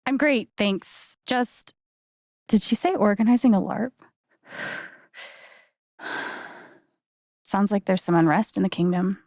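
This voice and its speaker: Young woman, mid-pitched, unhurried, friendly, wry, conversational game dialogue, very dry studio sound. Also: darkish tone, no echo. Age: young adult. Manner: casual, dryly joking, conversational. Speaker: video game voice actress.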